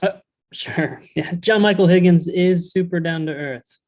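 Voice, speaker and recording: A woman's voice: on the low side, unhurried, darkish tone, lightly acted. A woman playing a worried, touchy male head elf in a comedy table read, earnest and a little hesitant. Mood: polite.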